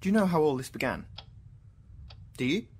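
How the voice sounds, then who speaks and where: A proud, troubled English scientist, played by a male actor in a radio drama. His voice is husky and moves widely in pitch, shifting between hushed musing and indignant, theatrical insistence. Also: expressive, normal speed. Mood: reflective, insistent. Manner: intense, questioning, swinging from hushed musing to outbursts.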